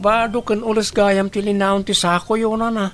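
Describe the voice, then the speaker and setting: A man's voice: high-pitched, level tone, normal speed, soft background music, lightly acted. A man playing a kind, patient young restaurant worker in a radio drama, polite and caring. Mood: polite, concerned. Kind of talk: Ilocano radio drama acting.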